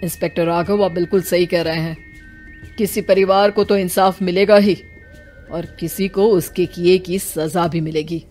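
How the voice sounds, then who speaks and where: A woman's voice: on the low side, steady tone, moderate pace, darkish tone, soft background music, lightly acted. Woman playing an authoritative senior police officer. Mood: inquiring. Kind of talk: Hindi animated moral story dialogue.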